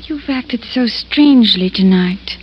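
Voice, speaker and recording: Radio suspense role: worried, gossipy woman friend, high voice, dull vintage recording. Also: expressive, takes it slowly, a little hiss or hum. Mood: concerned. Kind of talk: old-time radio suspense acting.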